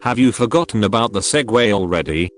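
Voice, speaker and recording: A man narrating a compilation of reader stories in a dry, flat-toned reading. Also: lowish voice, a little fast. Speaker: narrator reading online posts.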